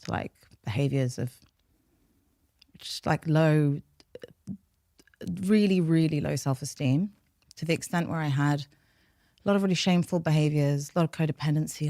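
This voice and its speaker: A woman's voice: low voice, no echo. Frank London woman, dry close sound. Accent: London English. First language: Farsi. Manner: reflective, frank, steady flow of long sentences. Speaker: podcast guest, behaviour change scientist. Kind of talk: candid interview storytelling.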